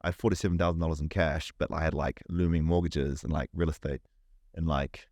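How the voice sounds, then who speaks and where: A man's voice: very deep voice, fairly even intonation, fairly quick, darkish tone, no room sound, clean studio sound. A middle-aged man from New Zealand with a New Zealand accent, a podcast guest chatting casually, rambling, repeating words and swearing freely. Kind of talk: casual chat.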